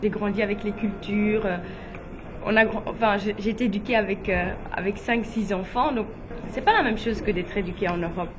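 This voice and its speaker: A young woman from Guinea telling personal stories in a relaxed, chatty way, with frequent fillers and light laughs. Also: medium pitch, brisk, noisy background. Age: young adult. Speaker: interviewee, beauty pageant runner-up.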